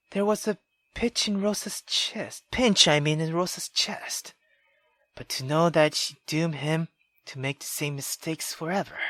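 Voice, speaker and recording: Female let's player reading a visual novel: unhurried, dry close sound, expressive and excitable. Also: lowish voice, no room sound. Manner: expressive, excitable, stumbles over the text. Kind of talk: reading a visual novel aloud with live reactions.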